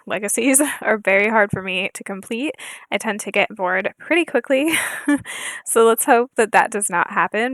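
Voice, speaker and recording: A soft-spoken young woman hosting a casual gameplay video, talking hesitantly with many fillers. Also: mid-range voice, no echo. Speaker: gaming channel host. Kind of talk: casual gameplay chat with read-aloud challenge text.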